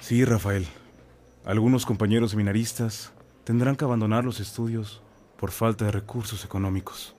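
An actor playing a loyal young seminarian friend in a radio drama. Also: male voice, lowish voice, steady tone, moderate pace, a little mellow, slight background noise, lightly acted. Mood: sad.

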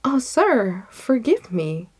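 A woman's voice: medium pitch, sing-song, normal speed, a little mellow, slightly rough. Woman acting a frightened, pleading merchant man. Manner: imploring, protesting. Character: terrified, pleading male merchant.

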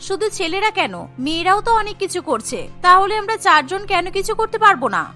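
A woman's voice: very high voice, expressive, quick pace, bright, forceful tone, faint music behind, heavily acted. A woman voicing an enterprising, bossy eldest sister-in-law in a village cartoon, emphatic, complaining and coaxing. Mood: encouraging. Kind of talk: Bengali cartoon dialogue.

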